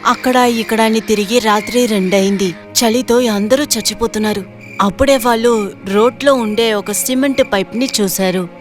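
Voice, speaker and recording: A woman narrator reading a scripted moral story for an animated video. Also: fairly high pitch, moderate pace, soft background music.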